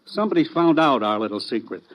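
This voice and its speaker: A man's voice: medium pitch, expressive, quick pace, natural voice. An actor playing an earnest, urgent government intelligence man, briefing emphatically in 1950s radio drama style. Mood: grave, urgent. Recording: dull old radio recording.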